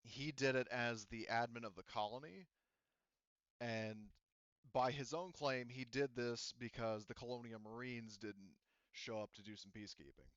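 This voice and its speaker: A man's voice: mid-range voice, a bit bright, no echo. Dull-sounding man as casual game master. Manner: halting, self-correcting, frequent "you know". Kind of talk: narrating a tabletop roleplaying game, casual and explanatory. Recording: dull, lo-fi voice audio.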